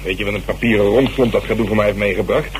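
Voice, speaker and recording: A man in a Dutch radio drama playing a weary, sarcastic police officer with a gruff, grumbling manner. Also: lowish voice, fast, lightly acted. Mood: sarcastic, annoyed. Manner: gruff, sardonic. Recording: noisy old radio recording.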